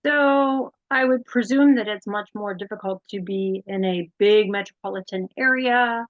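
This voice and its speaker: A woman's voice: mid-range voice, normal speed, narrow, tinny audio. A woman interviewing in a conversational, curious and attentive way.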